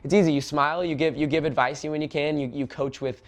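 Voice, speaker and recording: Young guy from oregon, soccer coach, talks fast, earnest, lots of ums and restarts. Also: on the high side, fairly even intonation. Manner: earnest, fast talker, many fillers and restarts. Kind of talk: casual interview, personal story.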